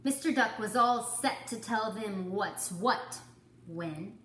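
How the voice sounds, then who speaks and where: A woman reading a children's story to kindergarteners, with deliberate, dramatic phrasing. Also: mid-range voice, a bit bright, reverberant. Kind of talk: expressive children's story narration.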